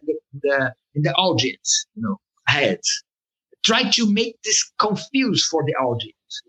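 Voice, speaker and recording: A man's voice: fairly high pitch, bright, forceful tone, breathy edge, rough recording. A man being interviewed about his work as a cartoonist, speaking as a with many fillers and restarts. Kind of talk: conversational interview answer.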